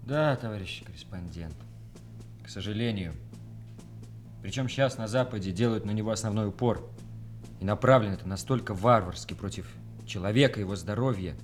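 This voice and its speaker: An actor playing a serious army senior lieutenant who explains things gravely in a measured way, in a radio play. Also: male voice, on the low side, faint music behind, lightly acted.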